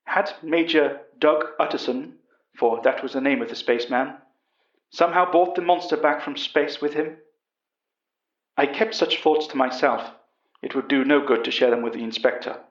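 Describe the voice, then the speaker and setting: A man's voice: a little high, moderate pace, roomy sound, recorded from a distance, lo-fi sound. A man reading scripted first-person horror narration.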